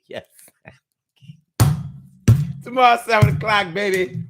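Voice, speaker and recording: Laid-back slangy male podcast host. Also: high voice. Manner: loose, rambling, playful riffing with slang and repetition. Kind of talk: casual late-night podcast sign-off.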